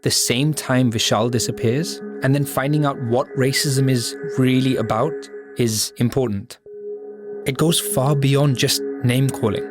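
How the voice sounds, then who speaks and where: Casting: male podcast host, measured and reflective, mid-pitched, scripted narration over clear music. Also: steady tone. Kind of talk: scripted investigative documentary narration. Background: music bed.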